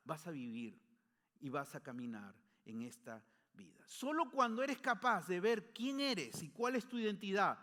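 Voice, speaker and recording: A man with a notably high voice preaching an earnest sermon. His declarations climb and his phrase endings fall away softly, and a long reverb trails every word through a large church hall. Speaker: pastor.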